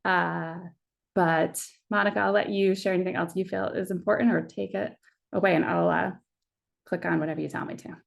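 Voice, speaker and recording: A woman with a lowish voice and a gravelly, fry-tinged texture hosts an online meeting. She talks in a relaxed, informal way with frequent "uh"s. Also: fairly quick. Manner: friendly, many "uh" fillers. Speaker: webinar host. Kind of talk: casual online meeting hosting. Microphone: video call.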